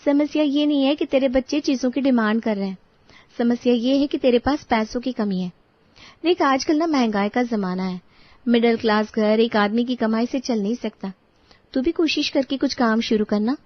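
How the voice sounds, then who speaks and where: A woman voicing an outspoken adult friend in an animated story, talking in a frank, persuasive way. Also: a little high, normal speed, no room sound, close to an everyday voice. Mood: concerned. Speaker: voice actor. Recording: dull, dry studio recording.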